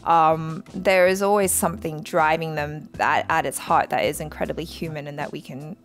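A woman with a slightly low voice talks casually, as if reacting to something she just watched. Her delivery is reflective and emotional, with halting phrasing. Faint music plays behind her. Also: steady tone. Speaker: reaction show host.

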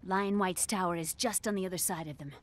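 A woman's voice: lowish voice, fairly even intonation, fairly quick, natural voice. An actress playing a determined young female rebel fighter in a video game. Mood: urgent. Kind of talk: video game cutscene acting.

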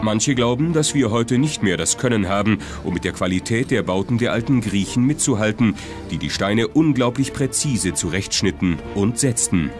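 A man's voice: on the low side, lively intonation, moderate pace, hardly any pauses. A man reads scripted documentary narration. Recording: music behind the voice. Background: music.

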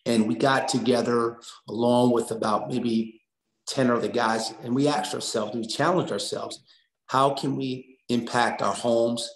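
A middle-aged American man, a coach giving earnest, encouraging answers in a conversational interview over a video call. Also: medium pitch. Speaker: interview guest, assistant basketball coach. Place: small indoor room on a video call. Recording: roomy, echoing room sound.

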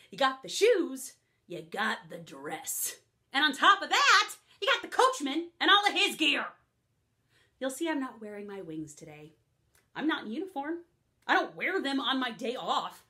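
A woman's voice: sits high, sharp tone, full character voice. A woman playing a put-upon fairy godmother who complains chattily and indignantly in a comic monologue. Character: grumbling middle-aged female fairy godmother, put-upon.

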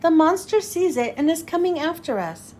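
A woman's voice: very high-pitched, very animated, moderate pace, a little mellow, slight background noise. A woman voicing an earnest, plucky young male reindeer in a storybook, speaking emphatically. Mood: worried.

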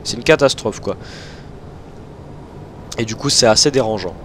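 A man's voice: on the low side, moderate pace, fairly bright tone, slight background noise. A young man with a blocked nose from hay fever, chatting casually and rambling over gameplay, with many fillers and self-corrections. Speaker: gaming video host.

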